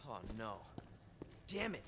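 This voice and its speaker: An actor playing a determined, grieving young police officer in a dramatic video game. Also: male voice, medium pitch, big pitch swings, slow pace. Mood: grim, distressed. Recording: quiet game audio under heavy noise.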